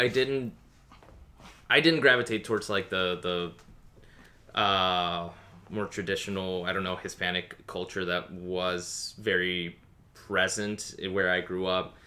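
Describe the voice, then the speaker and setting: Young male podcast host; low pitch, bright, forceful tone; reflective, stop-start delivery. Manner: halting, reflective, many restarts. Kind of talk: casual interview chat. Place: comic shop.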